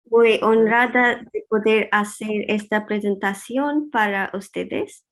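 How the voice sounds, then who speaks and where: A woman's voice: medium pitch, steady tone, slow. A woman researcher opening her talk in careful, non-native Spanish before switching to English, over a video call. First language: not Spanish. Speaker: guest speaker, researcher. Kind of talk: formal presentation.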